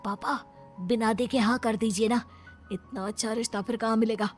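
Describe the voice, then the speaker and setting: A woman voicing an eager, money-minded young bridegroom in a cartoon moral story, pleading and excitable. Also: a little high, moderate pace, soft background music, natural voice.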